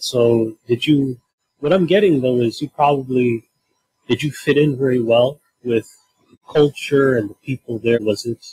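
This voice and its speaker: A man's voice: medium pitch, darkish tone, slightly rough, dry recording, rough recording. A man hosting a podcast interview, asking long, meandering questions with hesitations. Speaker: podcast host.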